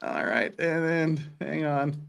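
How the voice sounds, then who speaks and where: A man's voice: a little high, unhurried, vocal fry, very noisy. A man hosting a casual livestream, rambling and joking as he goes. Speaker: livestream host. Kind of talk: casual livestream banter and dramatic reading.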